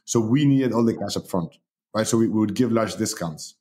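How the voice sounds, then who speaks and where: A man from Spain, a company founder, talking as a guest in a relaxed way with many fillers. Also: on the low side, fairly quick. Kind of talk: casual interview talk.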